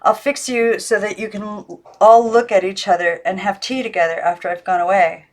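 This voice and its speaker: Woman reading as gentle, lonely young girl. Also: medium pitch, fairly even intonation, moderate pace, close to an everyday voice. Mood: tender, wistful. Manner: plain, gentle, short answers. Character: Maggie, lonely young girl, tender and matter-of-fact.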